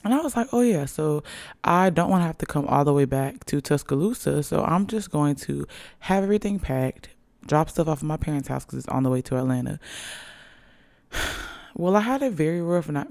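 A young woman hosting her own podcast, chatting casually and playfully in a raspy, hoarse voice after a long night. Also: deep voice, dark tone, no echo. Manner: chatty, playful, hyping herself up. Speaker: podcast host. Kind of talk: casual solo podcast chat. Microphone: podcast microphone.

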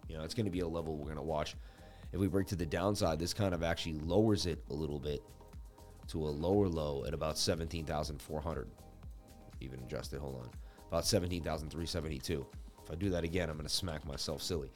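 A man in his thirties hosting a live crypto chart stream, chatty and animated with viewers, his voice scratchy as he loses it. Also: very deep voice, quick pace, faint music behind. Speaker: crypto market livestream host. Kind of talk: live chart analysis commentary.

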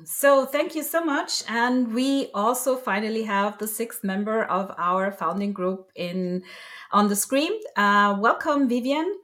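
A woman hosting an online launch event on a video call, brisk as she directs the speakers. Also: a little high, a bit bright. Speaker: event host and moderator.

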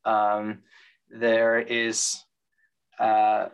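A man answering interview questions in a thoughtful, measured way, with frequent fillers and restarts. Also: fairly low pitch, monotone, smooth, rough recording. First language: English. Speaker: guest, transit expert. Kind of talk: interview conversation.

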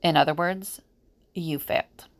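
A woman's voice: sits low, fairly even intonation, a little slow, no room sound, studio quality. A woman acting as a weary, irritable seer in an audio drama, blunt and sardonic. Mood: exasperated.

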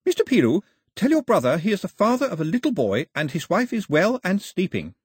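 A man voicing a brisk young girl who speaks fast and firm, in a voice lifted high above his own. The sound is husky and dry. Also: very animated, darkish tone, no room sound. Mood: insistent. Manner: quick, insistent.

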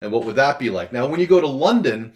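A man being interviewed as an audio drama creator, talking in a thoughtful, halting, conversational way and circling back over his phrases. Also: mid-range voice, lively intonation, a little mellow.

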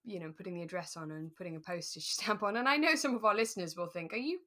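Female podcast host: slightly low voice, chatty and giggly, informal review style. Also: fast talker, a bit bright. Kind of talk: casual chat reviewing a radio drama.

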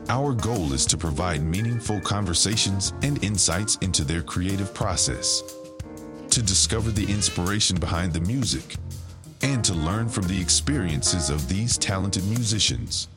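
Male podcast announcer, lower register, scripted intro read over prominent plucked guitar music.

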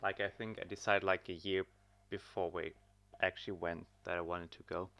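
A young man from Germany, a podcast guest speaking in a relaxed, thoughtful way. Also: sits low, moderate pace, gravelly. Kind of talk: casual podcast chat.

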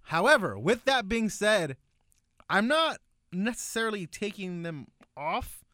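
A man's voice: high-pitched, very animated, a little slow, bright, forceful tone, dry recording, clean studio sound. A man co-hosting a casual food chat, talking in a laid-back, slangy way. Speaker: podcast co-host.

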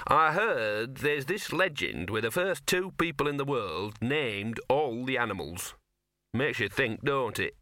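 A man's voice: medium pitch, lively intonation, moderate pace, bright, forceful tone, no echo, clean studio sound, pitched above the performer's own voice. A man voicing a scruffy talking dog, a knowing, chummy know-it-all with colloquial speech, in a storybook reading. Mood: cheerful.

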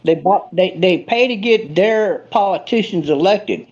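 A man's voice: sits high, lively intonation, unhurried, a little mellow, highs cut off. A man on a remote call ranting comically about politics, rambling and stumbling over his words. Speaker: guest. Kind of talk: comedic political rant.

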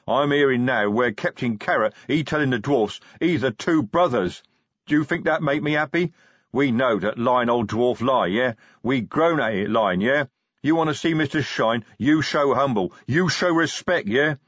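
A man doing a gruff, angry troll sergeant. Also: mid-range voice, no echo, voice pushed up. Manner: broken grammar, defensive and forceful. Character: big blunt male troll sergeant, gruff. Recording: dry, slightly dull recording.

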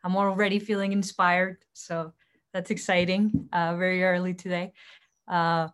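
A woman's voice: on the low side, little pitch movement, normal speed, clear, resonant tone. A woman from Costa Rica, a native Spanish speaker, speaking about herself as a panelist in a discussion. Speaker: panelist, startup co-founder. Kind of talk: panel discussion, personal account.

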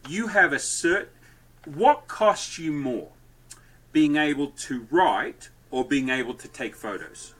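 A man guesting on a podcast, telling stories and dad jokes loudly in a rambling, lively way. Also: fairly high pitch, slow pace, husky. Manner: loud, joke-telling, rambling. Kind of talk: lively conversational storytelling.

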